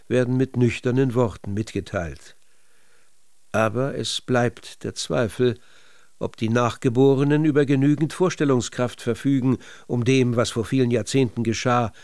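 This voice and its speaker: A man reads scripted literary documentary narration. His delivery is sober and measured, at a medium male pitch, recorded very dry and close without room sound. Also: darkish tone, no room sound.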